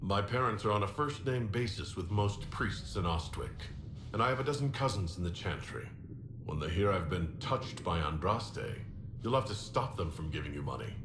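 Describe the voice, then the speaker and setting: A calm, low-voiced hero in echoey noise. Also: male voice, normal speed. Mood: wry. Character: male noble mage hero, calm, wry leader. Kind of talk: video game dialogue acting. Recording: echoey game capture, loud ambient noise.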